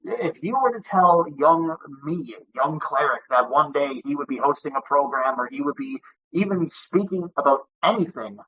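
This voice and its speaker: A man's voice: fairly high pitch, expressive, normal speed, husky. Wordy male podcast host, lo-fi sound. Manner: long-winded, wordy. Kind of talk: interview host monologue. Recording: dull lo-fi sound.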